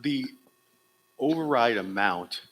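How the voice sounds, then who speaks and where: Bright-toned man asking careful questions. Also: mid-range voice, expressive, slow, low-quality recording. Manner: careful, asks clarifying questions, thinks aloud. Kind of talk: public meeting questions. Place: school meeting room.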